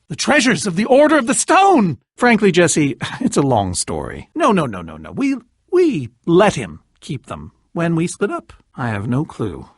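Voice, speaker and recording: Video game lead: a self-important, jittery adventurer, high-pitched and very animated, theatrical and wordy. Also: male voice, no echo, clean studio sound, exaggerated voice. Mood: boastful, explanatory. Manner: wordy, theatrical, stammering when flustered. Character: boastful, nervous, evasive adventurer and self-styled leader. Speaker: voice actor in a story video game.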